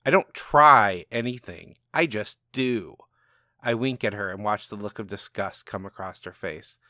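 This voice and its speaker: Rogue mage for storybook narration, male reader: cocky, powerful, conspiratorial, on the low side. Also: a little slow, pushed and bright, no echo, clean studio sound. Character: cocky, irreverent, powerful rogue wizard named Frankie. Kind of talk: first-person storybook narration.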